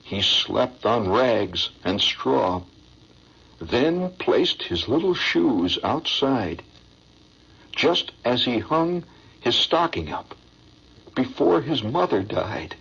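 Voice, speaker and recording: A sorrowful father played by a man reciting a stage melodrama in a husky, rough voice, slow and mournful. The delivery is theatrical and grave, heard over a dull old radio broadcast. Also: medium pitch, sing-song, a bit bright, pitched below the performer's own voice, full character voice. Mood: solemn.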